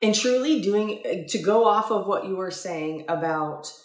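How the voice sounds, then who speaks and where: A woman's voice: mid-range voice, lively intonation, measured pace, roomy sound. A woman co-hosting a casual talk, reflective and earnest, speaking in long, winding sentences.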